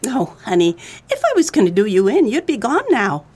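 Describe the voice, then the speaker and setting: A woman's voice: on the high side, big pitch swings, brisk, darkish tone, husky. A woman playing a brassy, sassy, breezily menacing dame in a comic noir radio play. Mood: cool menace.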